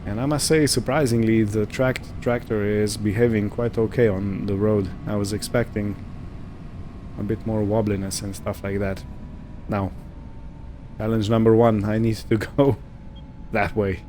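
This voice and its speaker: A man giving casual roleplay gameplay commentary, unhurried and thinking aloud as he goes. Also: on the low side, steady tone, a little mellow, a little hiss or hum. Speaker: gaming video host.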